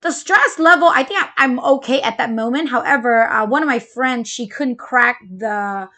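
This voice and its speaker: A woman's voice: a little high, moderate pace, bright, forceful tone. A woman, co-hosting a casual parenting chat and telling personal stories earnestly. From Malaysia. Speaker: co-host, parent.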